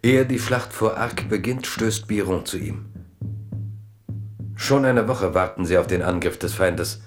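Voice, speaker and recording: A man narrating a literary radio drama in a measured, solemn way. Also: on the low side, faint music behind.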